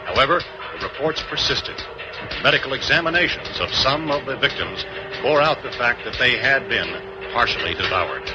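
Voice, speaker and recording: A man plays a grave American newscaster of the 1960s. He reads formally at a medium pitch, in dull old broadcast audio with music behind. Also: natural voice. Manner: formal, deliberate, sober. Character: grave old-time newsman announcing the walking dead.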